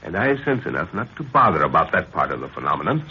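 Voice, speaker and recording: Commanding older visionary scientist, low and very animated, 1930s radio adventure style. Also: male voice, fast. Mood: eager, intent. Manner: emphatic, declamatory. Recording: dull, noisy 1930s radio recording.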